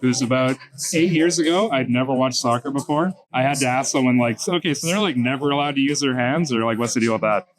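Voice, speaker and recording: A man's voice: medium pitch, fast talker, few pauses. A man in a documentary interview, talking casually about being a season ticket holder, with "like" peppered through his sentences.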